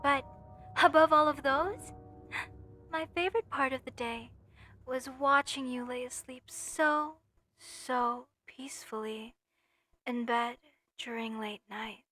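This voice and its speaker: A woman playing an obsessed, lovesick mortician in a horror audio roleplay, speaking tenderly and deliberately with sweet menace. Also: high-pitched, pushed and bright, no room sound, faint music behind, exaggerated voice. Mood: tender obsession. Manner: slow, tender, deliberate, theatrical. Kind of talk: horror roleplay monologue addressed to listener.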